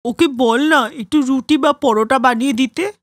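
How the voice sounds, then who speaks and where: A woman's voice: high-pitched, normal speed, dry recording, studio quality. A woman voicing a grumbling, scornful older mother-in-law in a cartoon story. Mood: complaining. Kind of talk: cartoon voice acting.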